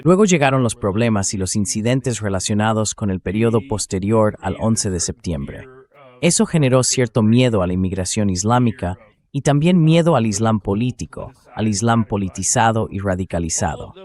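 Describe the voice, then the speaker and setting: A man's voice: lowish voice, darkish tone. A synthetic male voice reading a translated political commentary as a voice-over. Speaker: interview guest analyst, dubbed translation.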